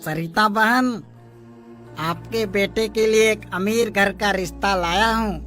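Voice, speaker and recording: A man's voice: sits high, slow pace, a bit bright, faint music behind. A man playing an eager, coaxing village priest who makes matches, in a moral story. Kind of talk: Hindi moral story voice acting.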